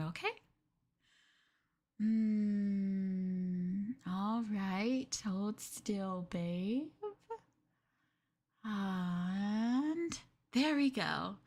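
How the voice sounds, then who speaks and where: Woman acting a high-voiced, slow, possessive yandere girlfriend. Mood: possessive, doting. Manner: cooing, coy, drawn-out endearments. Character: sweetly possessive, obsessive young yandere girlfriend. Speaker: ASMR roleplay performer. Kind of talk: yandere girlfriend roleplay ASMR.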